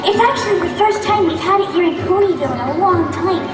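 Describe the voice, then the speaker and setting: A woman voicing a ditzy, eager and friendly pegasus pony in an animated audio comedy, childlike and excitable. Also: very high voice, fast talker. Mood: helpful. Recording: echoey hall, distant, loud music, crowd.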